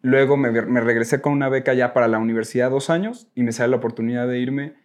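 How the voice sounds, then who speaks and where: A Mexican man with a mid-pitched voice is the guest in an interview. He tells his own stories informally, often rambling and correcting himself along the way. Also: fairly even intonation, fast. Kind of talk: casual personal storytelling.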